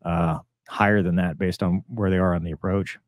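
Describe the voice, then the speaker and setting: A man's voice: deep voice, steady tone, normal speed, no room sound, clean studio sound. A man in his forties giving casual, conversational explanatory commentary as a host, using pilot jargon. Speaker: host, former fighter and airline pilot commentator. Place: small home room, on a video call.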